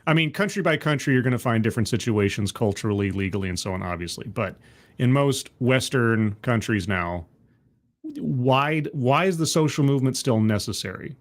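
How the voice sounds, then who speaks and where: Man, streamer, reaction commentary, sardonic, rambling, mid pitch. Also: lively intonation, normal speed. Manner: sardonic, rambling, with many restarts.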